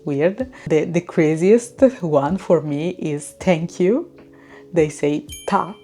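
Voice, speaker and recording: A young woman, chatting casually to camera in a vlog, relaxed and full of fillers. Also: sits low, takes it slowly, soft background music. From Italy. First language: Italian. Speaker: vlogger.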